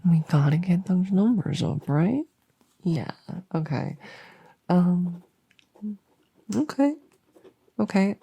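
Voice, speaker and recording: A woman playing an anxious, overworked but friendly monster under the bed in a comedic ASMR roleplay, rambling and stammering softly, often slipping into a whisper. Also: fairly low pitch, animated, takes it slowly, creaky, long pauses, slightly rough, exaggerated voice. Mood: eager, scheming, then hesitant, affectionate. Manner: rambling, nervous, stammering and repeating herself.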